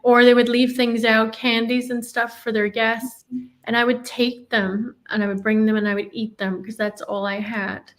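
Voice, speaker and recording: A woman's voice: on the high side, flat delivery, moderate pace. A woman from Ireland, telling her life story in an earnest, reflective way as an interview guest. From Northern Ireland.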